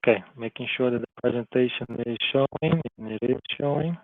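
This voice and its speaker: A man's voice: mid-range voice, brisk, no echo. A male college advisor giving an informal screen-share tutorial, talking loosely with restarts and frequent "okay?" check-ins. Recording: tinny phone-like narrow sound, dry.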